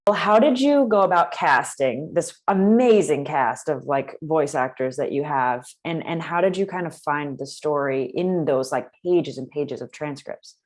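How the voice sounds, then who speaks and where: A woman's voice: sits low, a little slow, hardly any pauses. A woman asking interview questions in a casual, slightly tentative conversational manner. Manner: tentative, conversational phrasing with frequent "like". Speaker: interviewer, film reporter.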